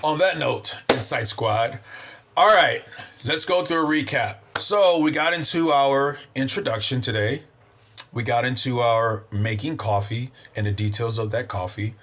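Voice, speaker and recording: A man's voice: mid-range voice, fairly bright tone. A man hosting his own vlog, chatting casually and upbeat with his viewers, rambling and often saying "you know". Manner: upbeat, thankful to his audience. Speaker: vlog host.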